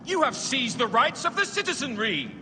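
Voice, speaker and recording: An actor playing a defiant, accusing Roman senator with a forceful, challenging manner in a video game cutscene. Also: male voice, very high voice, very animated, normal speed. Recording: reverberant hall, faint background noise.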